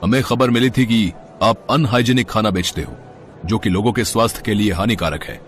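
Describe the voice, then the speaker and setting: A man's voice: fairly low pitch, a little fast, a little hiss or hum, close to an everyday voice. A man playing a stern, bullying food inspector in a moral story, officious and commanding. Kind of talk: storybook character acting.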